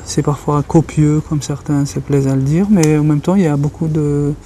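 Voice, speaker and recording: Thoughtful man, mellow voice. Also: on the high side, flat delivery, moderate pace, a little hiss or hum. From Algeria. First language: Kabyle. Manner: thoughtful, searching for words. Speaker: interviewee, writer and journalist. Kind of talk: reflective interview talk.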